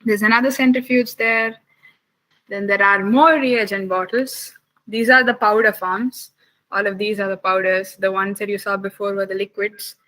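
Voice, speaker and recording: Young woman science camp instructor from Chennai, friendly questioning tone, bright tone, laptop mic on a call. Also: medium pitch, poor audio. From Chennai, India. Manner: chatty, asks the children many quick questions. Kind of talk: casual lab tour and chat with children over a video call.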